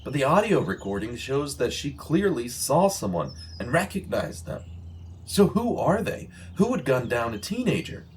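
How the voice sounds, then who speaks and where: An actor playing an earnest, righteous former journalist investigating a killing in a radio drama. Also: male voice, mid-range voice, big pitch swings, moderate pace, noisy background, natural voice. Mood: puzzled, brooding. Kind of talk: audio drama acting in vintage radio manner. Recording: music laid under the voice. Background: plucked guitar music.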